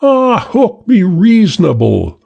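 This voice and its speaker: A man's voice: high-pitched, animated, unhurried, husky, muffled recording, voice pushed up, exaggerated voice. A man doing a raised young woman's voice. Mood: exasperated. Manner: exasperated, pleading. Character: young exasperated female gang member.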